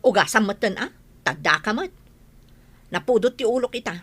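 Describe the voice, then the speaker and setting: A woman's voice: mid-range voice, expressive, normal speed, fairly bright tone, breathy edge. A woman playing an aggrieved village moneylender in an Ilocano radio comedy drama, scolding and emphatic, with an Ilocano accent. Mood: worried complaint.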